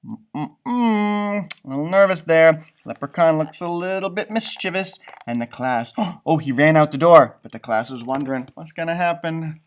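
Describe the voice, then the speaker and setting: Animated man reading a children's storybook. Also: a little high. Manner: expressive asides and questions to young listeners. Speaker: elementary school teacher reading storybooks aloud. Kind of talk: animated children's picture-book read-aloud.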